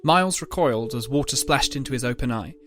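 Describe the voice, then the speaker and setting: A man's voice: mid-range voice, fast, a bit bright. A man reading scripted, dramatic story narration as the narrator.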